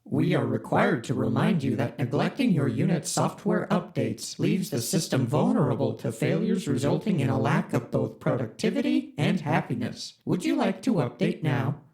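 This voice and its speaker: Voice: mid-range voice, big pitch swings, normal speed, hardly any pauses, a little mellow. An actor voicing a chipper, formal corporate spaceship AI in an audio drama, speaking like a scripted announcer. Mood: prompting. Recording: roomy echo.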